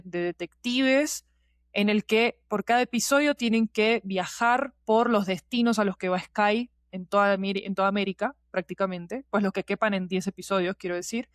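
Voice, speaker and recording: A woman's voice: mid-range voice, smooth, no room sound, studio quality. A woman giving talkative, digressive interview answers as a podcast guest, with emphatic repetition. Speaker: podcast guest, scriptwriter and producer.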